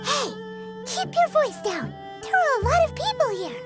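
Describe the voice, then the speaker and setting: A tiny floating girl sidekick in a video game. The voice is extremely high, squeaky and childlike, candid and quick to fret. Music plays clearly underneath. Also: very animated, heavily acted. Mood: chirpy, businesslike. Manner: speaks of self in third person. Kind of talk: animated video game dialogue. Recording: music behind the voice.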